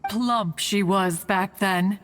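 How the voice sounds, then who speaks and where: A woman's voice: medium pitch, slow pace, a bit bright, a little hiss or hum. Brief: a proud, archaic female crane spirit in a game, mid-range voice, faint music underneath. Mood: playful. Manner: grand archaic speech, calls herself "one". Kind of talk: video game voice acting.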